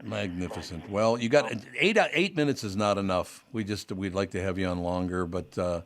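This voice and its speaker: Jokey low-voiced male radio host. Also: normal speed. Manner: jokey, effusive, rambling. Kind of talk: casual radio interview.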